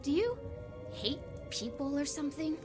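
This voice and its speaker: A woman's voice: sits high, big pitch swings, normal speed, darkish tone, faint music behind. An actress playing a frightened but blunt fourteen-year-old runaway girl in a naturalistic screen drama. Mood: blunt, probing.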